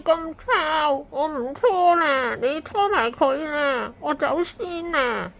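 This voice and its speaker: Grumbling female helper, high swooping voice, slow whiny drawl, squeamish, Hong Kong accent, radio drama. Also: lively intonation, fairly bright tone, exaggerated voice. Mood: disgusted, grumbling. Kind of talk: Cantonese radio drama acting.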